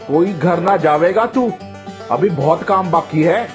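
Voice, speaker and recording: Guy doing a gruff haryanvi shopkeeper uncle, blunt and scolding but kind underneath, cartoon style, music behind. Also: fairly high pitch, measured pace, lightly acted. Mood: brusque, bargaining. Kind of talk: Hindi cartoon voice acting.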